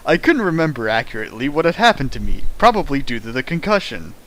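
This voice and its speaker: A man's voice: mid-range voice, sing-song, fast talker, a bit bright, slight background noise, rough recording. A man reading a fan fic aloud for a stream, breaking off into sarcastic comments and sudden sing-song bits. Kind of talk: comedic dramatic reading with riffing.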